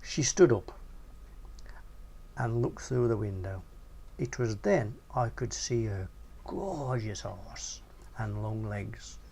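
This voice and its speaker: A man's voice: slow pace, vocal fry, long pauses, slight background noise. A man reading his own crude first-person short story in a casual, slangy way. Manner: crude, casual narration with animated swings in pitch.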